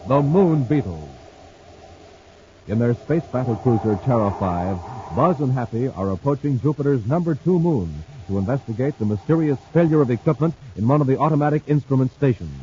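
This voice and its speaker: A man's voice: medium pitch, expressive, moderate pace. A man working as announcer and narrator, reading a script in vintage radio style. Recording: muffled vintage radio recording, faint noise.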